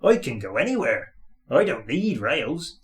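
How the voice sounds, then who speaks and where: A man voicing a proud, breezy farm tractor with a brisk, boastful manner in a storybook. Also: mid-range voice, sing-song.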